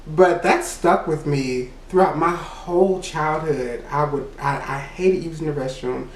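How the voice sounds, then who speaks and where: A man with a naturally high voice recounts a personal story in an unhurried, rambling way. He fills his speech with "you know" and laughs between his remarks. He sits back from the mic in an echoey room. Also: far from the mic, slight background noise. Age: adult. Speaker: vlogger. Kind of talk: casual storytime monologue.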